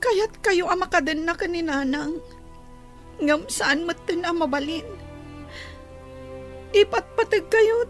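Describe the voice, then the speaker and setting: Radio drama: dutiful young daughter, very high, unhurried, sorrowful, tender. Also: female voice, a bit bright, exaggerated voice. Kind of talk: radio drama melodrama acting.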